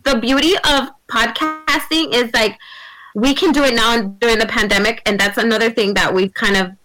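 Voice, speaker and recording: A woman's voice: a little high, fairly even intonation, moderate pace, low-quality recording. A woman podcast co-host talking over a video call, upbeat and rambling with many likes. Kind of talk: casual remote interview chat.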